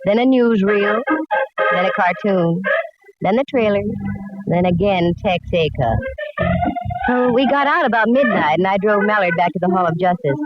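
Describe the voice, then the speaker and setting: A woman's voice: medium pitch, normal speed, over music, close to an everyday voice. An American actress playing a wry, sassy woman detective in a 1940s radio drama, narrating with breezy sarcasm. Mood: dry narration. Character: wry, sassy young female private sleuth. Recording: muffled, noisy 1940s radio recording.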